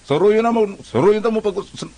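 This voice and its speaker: An actor playing an excitable, peacemaking male former classmate, chatty and coaxing, in a Cebuano comedy radio drama. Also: high-pitched, a little fast, very noisy.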